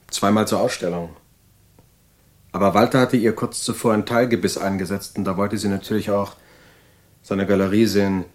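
A man's voice: lowish voice, darkish tone, natural voice. An actor playing a middle-aged asylum patient in an audio drama, recounting his life in a reflective, meandering and slightly snobbish way. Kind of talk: monologue of recollection in audio drama.

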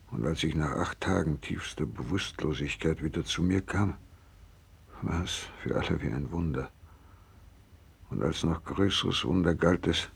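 An actor in a 1960s radio drama playing a gravely ill, devout doctor, husky and creaky, speaking slowly and solemnly with resigned fervour. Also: male voice, extremely low pitch, exaggerated voice. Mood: grave, weary recollection. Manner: slow, solemn, resigned, fervent. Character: gravely ill, devout, selfless male Japanese doctor nearing death.

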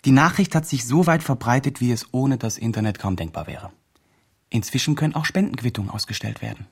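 Earnest fundraiser, radio play narration; mid-pitched, husky voice, formal report-like delivery. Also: male voice, animated, brisk. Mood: earnest, upbeat. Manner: formal, report-like project jargon. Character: earnest fundraising project organizer, a man reporting progress. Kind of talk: radio drama, scripted narration.

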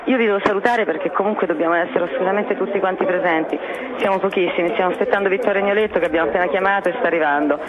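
A woman's voice: on the low side, very fast. A woman phoning in an urgent live eyewitness report to a radio station, alarmed and under pressure. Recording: phone call with crowd noise behind.

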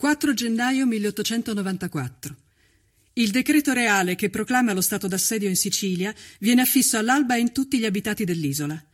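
A man reading scripted documentary narration in a solemn, measured and dramatic way. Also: high voice.

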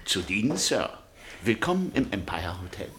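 An actor playing a formal, guarded hotel owner in a radio drama, servile on the surface and suspicious beneath. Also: male voice, on the low side, sing-song, normal speed, noisy background.

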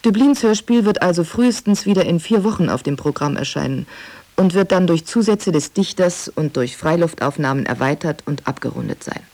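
A woman's voice: fairly low pitch, lively intonation, brisk, a little mellow. A woman reading historical press reports in a formal style for a radio documentary.

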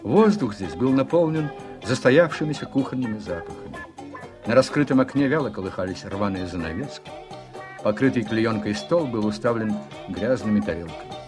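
Male narrator, lowish voice, scripted radio drama narration, guitar music behind. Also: expressive, normal speed.

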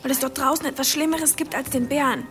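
A defiant young village woman in a radio play. Her voice is high and she speaks with a wounded, accusing intensity. Music plays clearly behind her. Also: fairly even intonation, fast talker, fairly bright tone. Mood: bitter, accusing. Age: young adult. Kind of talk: German radio play acting.

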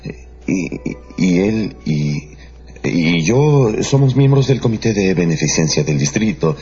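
Very deep, stiff count. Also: male voice, sing-song, dark tone. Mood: awkward, formal. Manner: halting, formal, hesitant. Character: stiff, embarrassed, pious aristocratic count. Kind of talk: radio drama acting. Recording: dull radio drama recording with music.

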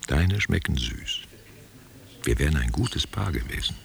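Very deep, creaky old raconteur. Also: male voice, lively intonation, unhurried, full character voice. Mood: tender. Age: older. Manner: musing, theatrical, answering unheard questions. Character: reminiscing old German actor, tender and musing over wine. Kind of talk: literary radio drama monologue.

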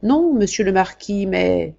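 A woman's voice: medium pitch, animated, a little slow, gravelly, warm tone, highs cut off. A woman reading an audiobook, voicing a devoted young man who speaks earnestly and firmly for his brother. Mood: pleading, firm. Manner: earnest, pleading yet firm.

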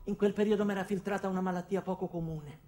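An actor playing an anxious, paranoid ordinary man, telling his story in a wry, deadpan theatrical monologue. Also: high-pitched, fast talker, natural voice. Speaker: actor performing a comic monologue. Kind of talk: theatrical first-person comic storytelling.

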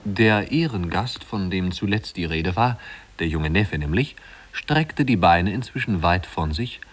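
An actor playing a measured, gently ironic storybook narrator in a 1950s-style German radio play. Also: male voice, sits low, animated, slight background noise. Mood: measured, descriptive.

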